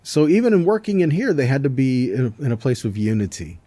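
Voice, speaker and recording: A man's voice: medium pitch, very animated, quick pace, mellow, dry recording. A man giving an informal Bible teaching, conversational but emphatic, reading verses and checking in with rhetorical questions.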